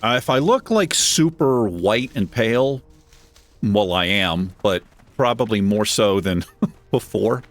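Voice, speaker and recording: A man with a deep voice hosting a gaming channel, chatting casually and self-deprecatingly while he plays. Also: lively intonation, slow, faint music behind. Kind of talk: casual gameplay commentary. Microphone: desk microphone.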